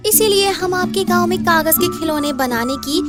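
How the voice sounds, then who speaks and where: Woman as a high-voiced polite businesswoman. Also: moderate pace, loud music behind the voice. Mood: polite, businesslike. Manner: polite, formal. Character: polite city businesswoman announcing a toy contest. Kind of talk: Hindi moral story cartoon dubbing.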